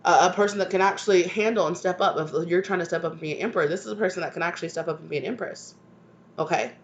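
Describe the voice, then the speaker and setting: A woman's voice: fairly low pitch, rapid-fire, muffled recording. A woman with a stuffed-up voice from a cold, giving a channeled tarot reading to her viewers with affectionate asides and repeated "right?" tags. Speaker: tarot reader.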